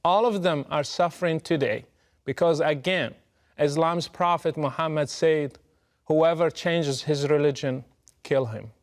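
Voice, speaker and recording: A man from Morocco with a Moroccan Arabic accent delivers a prepared political address in an earnest, emphatic and measured way. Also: fairly high pitch.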